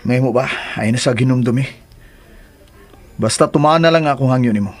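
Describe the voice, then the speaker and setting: A man's voice: medium pitch, a little fast, husky, close to an everyday voice. An actor with a Filipino accent playing a firm, worried expectant father in a radio drama, speaking earnestly. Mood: troubled.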